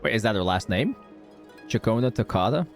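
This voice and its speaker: A man's voice: on the low side, expressive, brisk, soft background music. A man giving casual, slangy, joking commentary as he streams a game playthrough.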